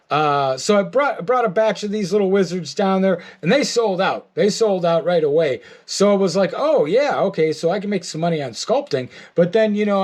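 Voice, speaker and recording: A middle-aged American man giving a casual, rambling video update, halting often and repeating his words. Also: sits high. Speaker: artist and toy maker. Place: art studio, indoors.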